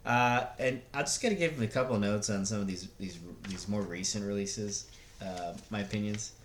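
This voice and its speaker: A man's voice: lowish voice, moderate pace, a little hiss or hum. A man giving casual, unscripted opinions like a video blogger, blunt and rambling, often hesitating as he searches for words.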